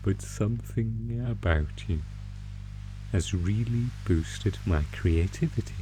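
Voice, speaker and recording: A man playing a sadistic monster who taunts captive prey with theatrical, drawn-out menace in an ASMR horror audio roleplay. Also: lowish voice, warm tone, slight background noise, rough recording. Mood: mocking, toying.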